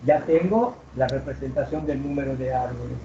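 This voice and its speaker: A man teaching math to a class, asking questions and drawing out key words slowly. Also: medium pitch, dark tone, slight background noise. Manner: asks students questions, drags out key words. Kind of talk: classroom lecture. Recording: roomy, distant, dull classroom recording.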